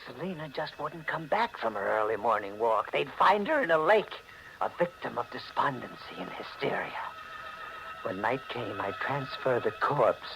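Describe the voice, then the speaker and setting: An actor playing an anxious, scheming husband who confesses to murder in a melodramatic 1940s radio mystery. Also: male voice, mid-range voice, big pitch swings, normal speed, creaky. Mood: scheming, tense. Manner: melodramatic, intense. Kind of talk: 1940s radio mystery acting, first-person narration. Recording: noisy old 1940s radio recording.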